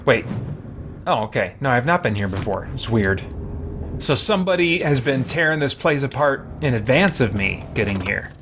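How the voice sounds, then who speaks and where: A man's voice: medium pitch, lively intonation, moderate pace, background noise. A man giving casual live commentary as he plays a video game, thinking aloud in a wry way. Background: video game music.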